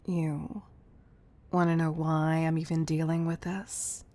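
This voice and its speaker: A woman playing a commanding but fond CEO in an intimate roleplay audio, speaking softly and deliberately to the listener, often near a whisper. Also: sits low, level tone. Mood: candid, intimate. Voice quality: breathy, soft. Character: commanding, tough-loving female CEO, fond underneath.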